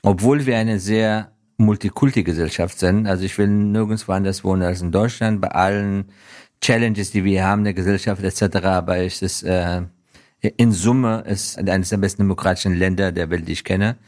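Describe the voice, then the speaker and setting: Low-voiced man, earnest interview guest. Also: steady tone, normal speed, darkish tone. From Afghanistan. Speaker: interview guest, business professional. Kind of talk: reflective conversational interview answers.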